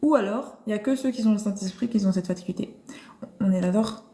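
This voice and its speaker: A woman's voice: medium pitch, brisk, mellow, a little hiss or hum. A woman hosting a casual live-stream Bible discussion, thinking aloud with many restarts and fillers. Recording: roomy echo.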